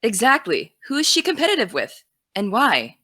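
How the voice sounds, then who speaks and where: A young actress playing an earnest high school girl who wants to be a journalist, defensive and dry. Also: huge pitch swings, moderate pace, sharp tone, no echo, heavily acted. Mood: guarded. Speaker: student podcast host. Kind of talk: audio drama.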